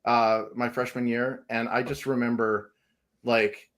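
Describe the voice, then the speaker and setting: A man's voice: mid-range voice, level tone, unhurried, a bit bright. A man from New England telling rambling stories in a casual panel chat, laughing often as a comic creator guest.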